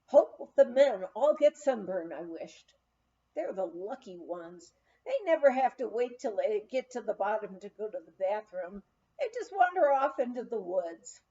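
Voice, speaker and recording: A woman reading a first-person memoir aloud in a measured storytelling manner with expressive emphasis, on a dull, slightly muffled recording. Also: mid-range voice. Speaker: audiobook reader of a memoir.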